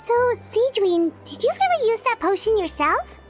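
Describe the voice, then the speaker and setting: A very high, squeaky little fairy companion from a video game. The childlike voice swings up and down with perky, blunt curiosity. Music plays clearly behind it. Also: female voice, very animated, normal speed. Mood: curious. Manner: exclamatory, emphatic, questioning.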